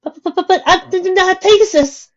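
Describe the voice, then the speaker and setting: A man voicing a terrified young female pony who pleads in a shrill, sobbing voice. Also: normal speed, a bit bright. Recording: dull lo-fi home recording.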